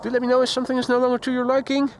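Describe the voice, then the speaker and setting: A man's voice: extremely high pitch, completely flat delivery, rapid-fire. Chatty man hosting outdoor live stream. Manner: chatty, greets viewers by name. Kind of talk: casual live commentary. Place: outdoors near an airport. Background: faint outdoor traffic and voices.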